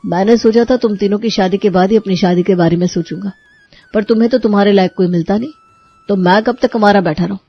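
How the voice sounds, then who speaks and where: A woman's voice: mid-range voice, quick pace, dull audio, soft background music, natural voice. A woman voicing an earnest, plain-spoken young man in a cartoon story. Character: earnest young man, a brother planning his wedding.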